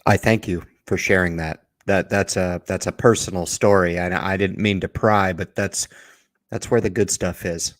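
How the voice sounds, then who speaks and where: A male interview host asks his guest friendly questions and restarts his phrases often. His voice is very low, and the recording is dry and close. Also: steady tone, moderate pace, no echo. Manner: friendly prompting with repeated restarts. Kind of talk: casual interview.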